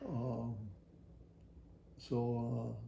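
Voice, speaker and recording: An older man tells a personal story from his working life in a halting, rambling, folksy way. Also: mid-range voice, monotone, vocal fry, mellow, roomy sound, lo-fi sound, slight background noise. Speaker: retired air traffic facility worker. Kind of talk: personal oral history storytelling.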